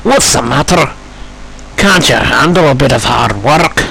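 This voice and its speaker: A man's voice: medium pitch, animated, unhurried, creaky, pushed and bright, exaggerated voice, rough recording. A man voicing a cheeky, boastful little steam engine in a children's train story, with a taunting, emphatic delivery. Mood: teasing.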